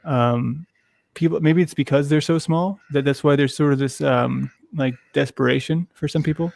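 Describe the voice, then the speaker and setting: A man's voice: fairly high pitch, moderate pace, creaky, darkish tone, no room sound, studio quality. A man chatting on a live stream, hesitant and thoughtful, restarting his sentences often. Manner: hesitant, many fillers and restarts. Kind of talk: casual chat.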